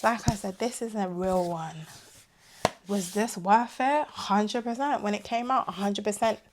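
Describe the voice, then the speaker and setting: A young woman vlogging about her gadgets in a chatty, animated way, her voice going hoarse. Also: medium pitch, unhurried, background noise. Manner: chatty and animated, drifting into asides. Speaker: vlogger reviewing her tech purchases. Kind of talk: casual chat to camera.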